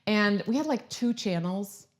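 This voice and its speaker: A chatty woman in her thirties from Croatia hosts a casual live stream. She sounds upbeat and talks in a rambling, friendly way, with restarts and side remarks. Her voice sits at an ordinary middle pitch. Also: expressive, measured pace. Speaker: lifestyle video host.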